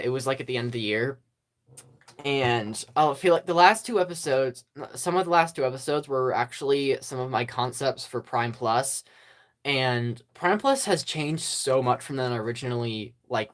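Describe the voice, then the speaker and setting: A YouTube host in a casual, rambling channel update, hesitant and self-deprecating, with many ums and small laughs. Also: mid-range voice. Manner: self-deprecating about own past work. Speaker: stop-motion channel host.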